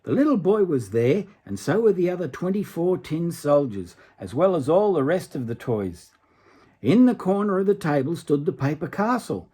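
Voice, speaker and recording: A man's voice: on the high side, mellow, breathy edge. An older man reading a children's story aloud expressively, dramatically, with asides to a young listener. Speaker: storybook reader.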